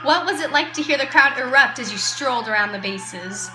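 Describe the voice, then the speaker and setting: A woman playing a brash, slangy radio reporter and pitchwoman in an amateur 1920s broadcast. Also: animated, brisk. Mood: excited. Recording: roomy echo, music behind.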